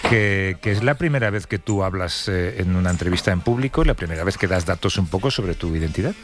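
A man hosting a morning radio talk show, lively and joking as he leads the conversation. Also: low voice, fast. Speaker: radio host.

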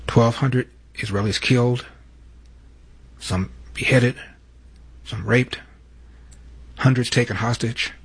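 A man hosting a radio talk show, taking calls and arguing his points in a measured way. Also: medium pitch, breathy edge, no echo. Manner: measured, argumentative, repeats himself when interrupted.

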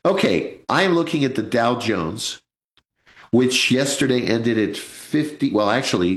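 A man's voice: mid-range voice, roomy sound. A man in his sixties hosting a live financial radio show, chatty and joking, calling his listeners folks. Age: 60s. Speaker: radio host, financial advisor.

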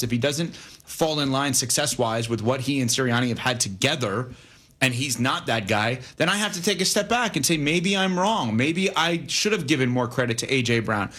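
A man co-hosting a sports talk show, debating football in a casual, animated way. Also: mid-range voice. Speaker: sports radio co-host.

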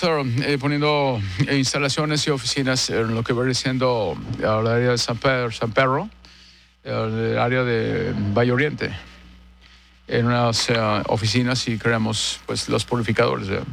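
A man playing a polite, bumbling American businessman who speaks halting, broken Spanish full of fillers and slips into English. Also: medium pitch, steady tone, vocal fry, a bit bright, slight background noise. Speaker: prank caller.

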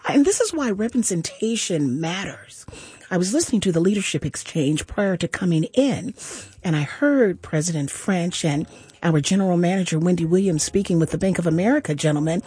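A woman's voice: fairly low pitch, moderate pace, slightly rough, dry recording, faint music behind. A woman hosting a radio fundraiser, backing her guest with lots of mm-hmms and chatting in an upbeat way. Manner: affirming, lots of mm-hmms, lively delivery. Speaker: radio host. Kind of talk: casual radio chat.

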